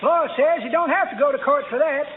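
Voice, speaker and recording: A squeaky, folksy old-timer. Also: male voice, brisk. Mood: chipper. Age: older. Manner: folksy, garrulous, mock-learned patter. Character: cackling, folksy old man, eccentric small-time lawyer. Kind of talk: 1940s radio comedy acting. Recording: muffled, noisy vintage radio broadcast.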